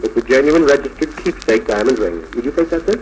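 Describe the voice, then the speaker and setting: A man's voice: mid-range voice, lively intonation, fast, darkish tone, slight background noise, low-quality recording. An American man hosting a live 1940s radio show, interviewing guests and announcing on an old broadcast recording.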